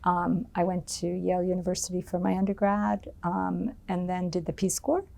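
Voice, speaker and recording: A woman's voice: lowish voice, steady tone, normal speed, gravelly, warm tone. A middle-aged American woman giving an oral history interview, speaking thoughtfully with many ums and restarts. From United States.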